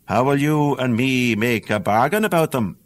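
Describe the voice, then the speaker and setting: A man's voice: mid-range voice, expressive, normal speed, close to an everyday voice. A man with an Irish accent voicing a sly little magical man in a read-aloud fairy tale, coaxing and bargaining.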